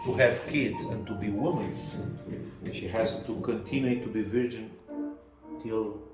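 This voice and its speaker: An older man with a Romanian accent, talking in a casual conversation and often pausing to search for English words. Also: fairly low pitch, steady tone. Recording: echoey room with music behind.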